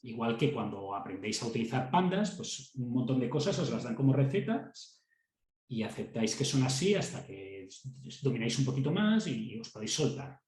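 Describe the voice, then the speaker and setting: A man's voice: medium pitch, sing-song, normal speed, a little mellow, roomy sound, poor audio. A man with a Castilian Spanish accent giving a university class, talking conversationally, repeating words as he thinks and checking in with students. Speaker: university lecturer. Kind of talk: classroom lecture.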